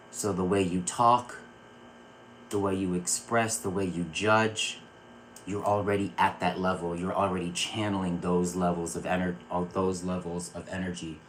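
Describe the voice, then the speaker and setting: A man from Virginia hosting a spiritual live stream, talking earnestly and calming his viewers with a repeated "okay". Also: sits low, slight background noise, rough recording. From Virginia, USA. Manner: earnest, repeats words and restarts phrases. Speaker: psychic circle host. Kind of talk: live-stream spiritual talk answering chat.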